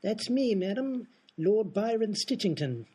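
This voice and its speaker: A prim, haughty aristocratic lord, as a man plays him in a radio comedy. He speaks in a formal, stiff way with a husky edge. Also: high voice, big pitch swings, unhurried, mellow, exaggerated voice. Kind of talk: comic radio play acting.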